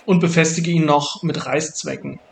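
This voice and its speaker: A man's voice: on the high side, fairly even intonation, normal speed, vocal fry. A man narrating a do-it-yourself build tutorial in a casual way. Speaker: maker narrating a build video.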